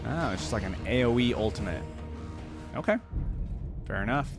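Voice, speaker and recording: A man's voice: mid-range voice, expressive, normal speed. A man hosting a reaction video, thinking aloud in an informal, exclamatory way as he comments. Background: game trailer and theme music.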